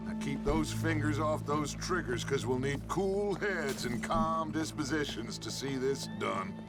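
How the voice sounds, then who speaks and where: Western game outlaw boss: American, grandiose and commanding, theatrical phrasing, music underneath. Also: male voice, on the high side, measured pace. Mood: solemn, resolute. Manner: theatrical, commanding, florid speech. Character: grandiose, vengeful male leader of Wild West outlaws. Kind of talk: dramatic video game dialogue. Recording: music behind the voice.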